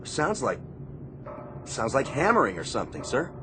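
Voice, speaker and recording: An actor playing an alert, puzzled Navy sonar operator in a radio drama, reporting in clipped technical phrases. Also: male voice, mid-range voice, wildly swooping pitch, moderate pace. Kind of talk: radio theatre acting. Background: music.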